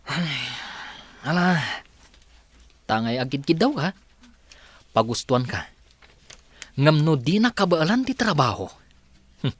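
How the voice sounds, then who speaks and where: A man's voice: fairly high pitch, sing-song, slow pace, pauses often, slightly rough, dry recording, exaggerated voice. A man playing a blunt, impatient company boss in a radio-style drama. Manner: blunt, questioning.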